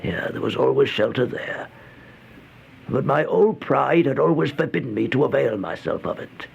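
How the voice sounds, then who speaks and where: An actor playing a starving, feeble, fearful vagrant in an old-time radio horror monologue, halting and stammering, with gasps and whispers. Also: male voice, medium pitch, animated, gravelly, highs cut off. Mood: anxious, bitter. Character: starving, feeble, once-proud male vagrant turned housebreaker, haunted and fearful. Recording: noisy old radio drama recording.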